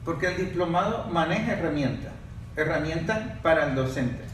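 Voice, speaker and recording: A man's voice: a little high, expressive, normal speed, a bit bright, very echoey, far from the mic, slight background noise, poor audio. A man hosting a podcast, steering the conversation toward new topics.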